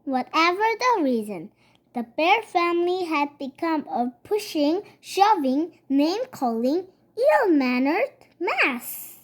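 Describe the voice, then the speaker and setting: A child reading a children's picture book aloud in a halting way, stumbling over words and going back to repeat them. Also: extremely high pitch, very animated.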